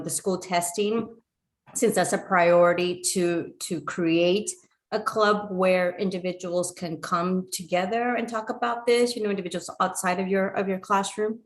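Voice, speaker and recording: Lower-voiced woman asking winding questions. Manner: long, winding questions with many "you know"s. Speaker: panel questioner. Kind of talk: asking questions on a video call. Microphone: video call.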